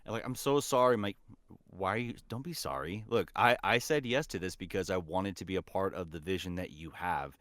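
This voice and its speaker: A man's voice: sits low, fairly quick, fairly bright tone, dry recording, studio quality. A man talking as a guest voice actor in a casual podcast chat, with an enthusiastic, informal way of speaking.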